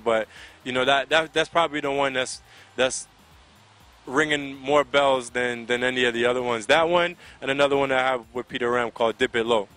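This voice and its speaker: Male interviewee, American accent, bright mid-pitched voice, conversational, faint music underneath. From Connecticut, United States. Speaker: soca singer being interviewed. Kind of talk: casual interview answers. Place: outdoors by a riverside. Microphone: handheld microphone held close to the mouth.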